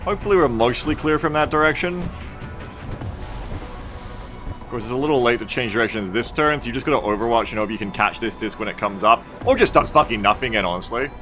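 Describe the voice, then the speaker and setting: A man's voice: medium pitch, fast talker, pushed and bright. A man live streaming a video game, thinking aloud in a wry, rambling, sweary way. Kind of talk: casual live gameplay commentary. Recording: music and game sound behind the voice. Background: tactical video game audio and music.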